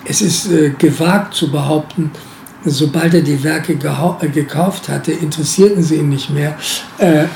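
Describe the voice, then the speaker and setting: A man's voice: a little high, fairly even intonation, moderate pace, vocal fry, darkish tone, roomy sound, slight background noise. An older man, a former museum director, recalling his past work in an interview, hesitant and full of filler sounds. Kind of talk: interview recollection.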